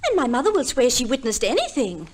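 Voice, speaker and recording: A flighty, wilful society wife in a 1950s radio drama. Her voice is extremely high and lilts widely, breezy and melodramatic in its theatrical delivery. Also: sing-song, fast, soft background music. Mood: wilful, dramatic. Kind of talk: 1950s radio play acting.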